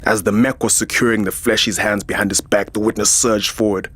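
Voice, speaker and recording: Jaded transhuman security chief for audio drama, male actor. Husky lower register, sardonic, proud, narrates his own story. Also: steady tone, a little fast, fairly bright tone. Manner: sardonic, world-weary. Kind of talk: first-person scripted sci-fi narration.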